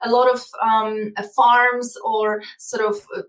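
A woman's voice: a little high, level tone, unhurried, roomy sound, recorded from a distance. A woman with a Polish accent, talking as a guest in an interview, explaining earnestly and often repeating words. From Poland. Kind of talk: casual interview talk.

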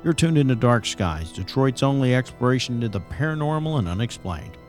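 A man's voice: medium pitch, sing-song, fairly quick, lightly acted. Man playing a polished late-night radio host. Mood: inviting, showy. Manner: polished, showman-like presenting. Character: smooth-patter male paranormal late-night AM radio host. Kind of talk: audio drama acting as radio presenter. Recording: faint music under the voice. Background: faint music.

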